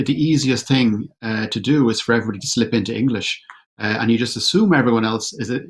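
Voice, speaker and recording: A man moderating an online panel discussion in a measured, hesitant way with many fillers. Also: medium pitch, creaky. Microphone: video call.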